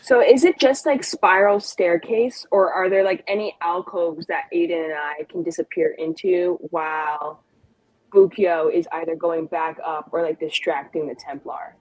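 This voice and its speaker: A woman's voice: on the low side, steady tone, moderate pace, darkish tone, no echo, low-quality recording. A woman playing a tabletop game, improvising in character in a coaching, scheming manner.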